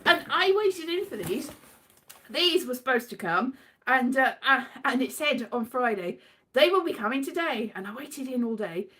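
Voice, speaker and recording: A woman's voice: high-pitched, lively intonation, normal speed, a bit bright. A British woman hosting a casual livestream, rambling in a whimsical, theatrical way. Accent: British English. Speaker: livestream host, voice actor. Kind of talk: rambling casual livestream chat with bits of singing.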